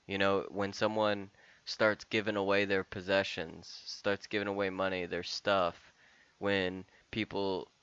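Man of thirty musing, bright and clear. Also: low voice, level tone, measured pace, no room sound, muffled recording. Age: 30s. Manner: rambling, frequent restarts and swearing. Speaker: podcast host. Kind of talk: casual personal monologue.

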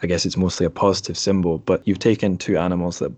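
A man's voice: deep voice, fairly even intonation, fast, a little mellow, no echo. A man hosting a podcast in a casual, conversational interview style, thoughtful and a little tentative. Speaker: podcast host.

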